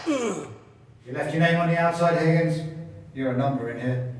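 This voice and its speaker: An actor with a Northern Irish accent playing a stern, contemptuous prison officer in a radio play. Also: male voice, a little high, normal speed, lightly acted. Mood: mocking authority. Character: stern, contemptuous male prison officer lording it over inmates. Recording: very echoey room with ambient noise.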